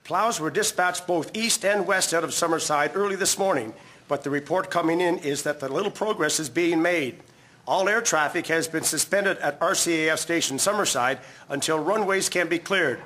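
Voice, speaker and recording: A man's voice: on the high side, normal speed, bright, forceful tone. A man playing a 1950s radio newsreader delivering formal scripted bulletins in a drama. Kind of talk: scripted news reading, period radio drama.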